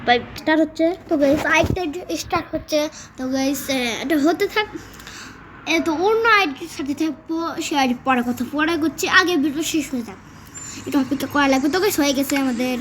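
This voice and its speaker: A young-sounding game host giving casual, excitable gameplay commentary, often repeating words in rapid bursts. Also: high voice, slight background noise. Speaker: gaming video host.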